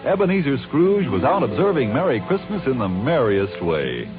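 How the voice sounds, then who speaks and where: A man's voice: medium pitch, sing-song, moderate pace, over music, close to an everyday voice. A man playing a genial, folksy narrator telling a merry tale in a 1940s radio drama. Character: genial male storyteller recounting a merry tale. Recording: tinny, band-limited 1940s radio recording.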